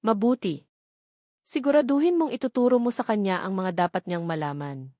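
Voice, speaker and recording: A woman plays a stern old matriarch in an audio love drama. She speaks with a Filipino accent at a medium pitch, formal and commanding. The sound is dry and close. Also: normal speed, dark tone, no echo, close to an everyday voice. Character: stern, authoritative old grandmother, wealthy matriarch.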